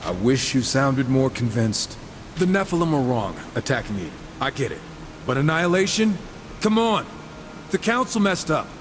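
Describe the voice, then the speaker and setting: A man's voice: mid-range voice, sing-song, moderate pace, background noise, lightly acted. An actor playing a wisecracking, irreverent horseman of the apocalypse in a game, with sarcastic, casual banter. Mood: candid. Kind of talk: video game cutscene acting.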